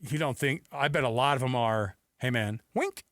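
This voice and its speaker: A man's voice: mid-range voice, normal speed, a bit bright, no room sound, studio quality. A man hosting a sports radio show in casual talk, often acting out imagined quotes.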